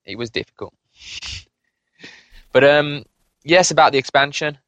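A British man chatting casually about video games as a podcast panelist, with a hesitant, halting delivery. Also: fairly high pitch, a bit bright, dry recording, dull audio. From United Kingdom. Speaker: podcast panelist and game reviewer.

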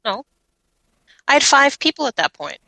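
A woman's voice: sits high, very animated, normal speed, gravelly, bright, forceful tone, no echo, low-quality recording. A woman chatting casually about video games as a panelist on a podcast. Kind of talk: casual gamer chat.

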